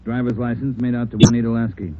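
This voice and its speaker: A man's voice: mid-range voice, level tone, fast talker, close to an everyday voice. Clipped American police chief, played by a man, muffled. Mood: businesslike. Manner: clipped, businesslike. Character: gruff, terse male police chief briefing his detectives. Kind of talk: 1940s radio crime drama acting. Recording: muffled, noisy vintage 1940s radio recording.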